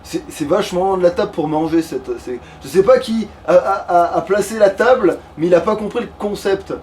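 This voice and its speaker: A man's voice: high-pitched, fairly quick, darkish tone. A man chats through a game. He talks in a loose, slangy way with casual swearing. The mic is some distance off, with faint noise around him. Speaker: let's player. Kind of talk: casual gaming chat.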